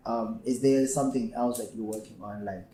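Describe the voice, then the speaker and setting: A young man, a musician guest on a podcast, talking in a lively casual way, laughing often and filling his talk with "yeah" and "bro". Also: on the low side, brisk. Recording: echoey room with faint background noise.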